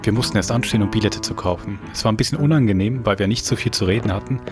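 Deep-voiced man hosting a podcast. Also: racing. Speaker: podcast host. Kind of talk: podcast presenting, reading aloud a listener's letter. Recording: music underneath.

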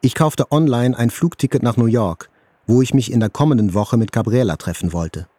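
An actor playing a journalist who soberly recalls his own life in measured literary narration. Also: medium pitch, dark tone, dry recording, natural voice. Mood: sober, dry. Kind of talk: literary first-person narration in audio drama. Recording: faint music behind the voice.

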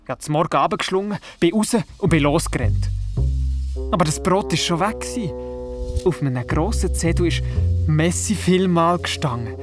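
Man playing an eager Swiss boy, high-pitched, over music. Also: expressive, moderate pace, close to an everyday voice. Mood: eager, narrating. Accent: Swiss German. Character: curious, eager young grandson, full of imagination. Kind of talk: first-person storytelling in children's radio play.

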